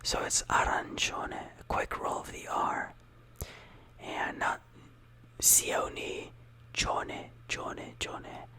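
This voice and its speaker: A speaker whispering a casual ASMR lesson, softly repeating words and rambling with lots of fillers. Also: takes it slowly, pauses often. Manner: soft whispering throughout. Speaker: teacher of Italian words.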